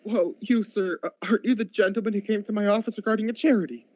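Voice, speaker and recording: A man playing a giddy, generous reformed old miser in a radio play, stammering a little in excitement. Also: high voice, fast, dry recording, close to an everyday voice. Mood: giddy, eager. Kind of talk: school radio drama acting. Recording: dull lo-fi home recording.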